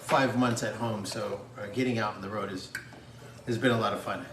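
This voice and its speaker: A man's voice: lowish voice, fairly even intonation, brisk. Middle-aged man chatting in a noisy, echoey kitchen. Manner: enthusiastic, talks food and wine plainly. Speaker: wine rep guest. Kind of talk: casual chat. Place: home kitchen. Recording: echoey kitchen with background noise.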